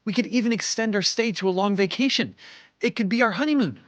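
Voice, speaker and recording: A man playing a scheming, smooth-talking cheating fiancé in an audio drama, coaxing and insistent. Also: sits high, fast talker, no echo, natural voice. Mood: falsely cheerful, nervous.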